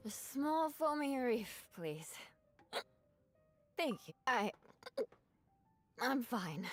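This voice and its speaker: A woman voicing a lonely, slightly drunk young woman in a video game, guarded and halting in her speech. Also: on the high side, sing-song, faint music behind, heavily acted. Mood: tipsy, hesitant. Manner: hesitant, halting.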